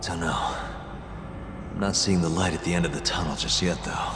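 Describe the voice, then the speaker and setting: An actor playing a determined, weary young detective and lawyer in a video game drama, terse and resolute. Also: male voice, low-pitched, fairly even intonation, moderate pace, background noise, natural voice. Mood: dazed, dry. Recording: loud dramatic music behind.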